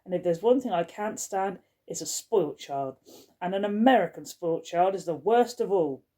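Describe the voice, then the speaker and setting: A woman's voice: fairly low pitch, animated, mellow. A woman playing a pompous, fuming housekeeper. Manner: indignant, drawn-out scolding. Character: pompous, furious older woman hotel housekeeper.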